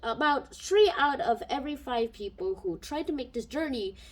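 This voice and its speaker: A woman's voice: high voice, expressive, moderate pace. A woman giving a casual spoken book review into a home microphone, rambling and thinking aloud.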